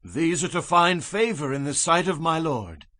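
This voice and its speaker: Bright, earnest, pleading biblical patriarch. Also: male voice, on the high side, lively intonation, normal speed, no echo, clean studio sound. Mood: humble, reverent. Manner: earnest, deferential, entreating. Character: Jacob, humble pleading patriarch addressing his brother. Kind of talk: dramatized scripture reading.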